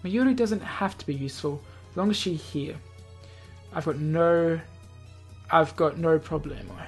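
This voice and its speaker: A woman with a somewhat low voice narrates a visual novel as an amateur let's player. She reads in an offhand way, now and then tripping on a word and taking it again. Music plays behind her. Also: unhurried, a little mellow. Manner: stumbles over words and re-reads lines. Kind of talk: amateur read-aloud narration with offhand remarks. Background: game background music.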